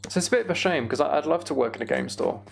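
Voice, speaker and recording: A man's voice: a little high, lively intonation, rapid-fire, gravelly. A British man chatting casually on a livestream while he plays a game, rambling and thinking aloud. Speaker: streamer.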